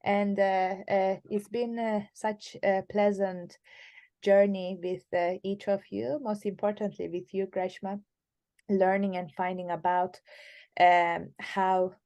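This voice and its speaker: A woman youth coordinator speaking on a video call, halting with many fillers. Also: medium pitch, little pitch movement, mellow. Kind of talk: online group discussion.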